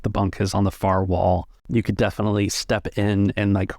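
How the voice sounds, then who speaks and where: A man's voice: lowish voice, darkish tone, no room sound, studio quality. A man acting as game master, describing scenes conversationally in casual tabletop game narration.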